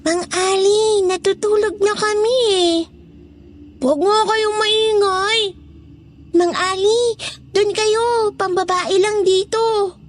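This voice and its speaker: A very high-pitched, playful young child. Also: steady tone, slow pace, slight background noise. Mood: scolding. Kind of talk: dramatized horror story dialogue.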